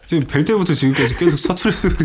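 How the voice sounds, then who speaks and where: A man's voice: fairly high pitch, animated, fast talker, noisy background. A man from Korea's Chungcheong region, a film director and guest, talking in an earnest, modest, self-deprecating way. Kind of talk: casual interview conversation.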